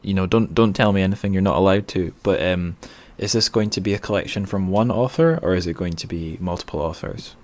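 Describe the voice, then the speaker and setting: Guy interviewing on a podcast, low pitched, casual, kinda tentative and keeps rewording himself. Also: fairly quick, hardly any pauses, a little mellow. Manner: tentative, self-correcting.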